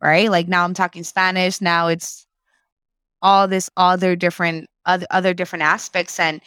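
A woman's voice: lowish voice, little pitch movement, normal speed, fairly bright tone, smooth, no echo, studio quality. A woman, reflectively telling her story as an interview guest and slipping Spanish phrases into her English. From Mexico.

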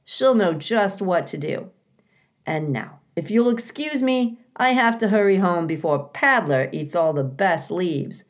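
A woman voicing a busy, helpful male beaver neighbor in a storybook, with a brisk, neighborly manner. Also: fairly low pitch, expressive. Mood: helpful, hurried.

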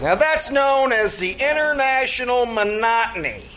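A brash know-it-all barkeep acted by a man for old-time radio comedy. The delivery is bright and forceful, swinging up and down in pompous, streetwise lectures. It is a live stage recording with faint noise. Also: extremely high pitch, animated, measured pace, heavily acted.

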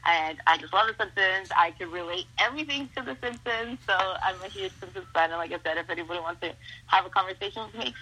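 Bright-voiced, husky young woman wrestler. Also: on the low side, fast talker, low-quality recording. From Mexico. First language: Spanish. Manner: upbeat, gushing, heavy use of "like" and "awesome". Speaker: professional wrestler. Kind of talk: casual interview.

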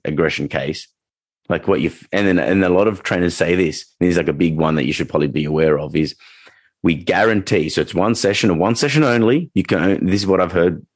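Low-voiced man rambling about dog training. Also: no echo, studio quality. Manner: rambling, frequent restarts and fillers. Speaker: dog trainer and podcast co-host. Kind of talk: casual podcast chat.

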